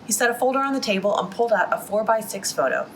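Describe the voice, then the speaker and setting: A young woman reading a novel aloud at a library storytime, in an even reading voice with quick restarts after slips. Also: mid-range voice, a little hiss or hum, low-quality recording.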